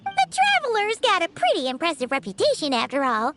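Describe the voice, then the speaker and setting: A woman's voice: very high-pitched, huge pitch swings, brisk, heavy background noise, heavily acted. A woman voicing a tiny flying fairy girl in an anime-style video game, cheeky, curious and childlike, with a chirpy manner. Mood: puzzled, chatty. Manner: refers to self in third person, chirpy.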